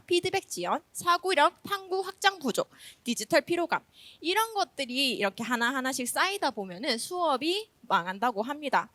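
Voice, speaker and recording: A woman teacher with a slight Gyeongsang accent presents at a contest in a chatty, self-deprecating way, nervous but joking. Her voice is high and bright. The sound is dry and close. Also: no echo. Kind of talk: conference presentation.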